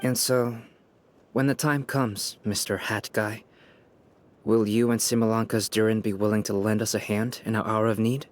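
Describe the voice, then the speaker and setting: An actor playing a calm, polite young male researcher in a game, speaking formally and articulately. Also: on the low side, normal speed, a little hiss or hum, natural voice. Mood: grave, thoughtful. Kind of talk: game character dialogue.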